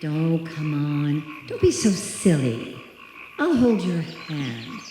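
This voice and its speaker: A woman's voice: sits low, big pitch swings, slow, dark tone, faint music behind, exaggerated voice. A woman playing a cool, dismissive small-town spinster in a live old-time radio play, breezy and scoffing. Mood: brisk, unafraid. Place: live stage performance in a hall. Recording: very echoey hall, faint noise.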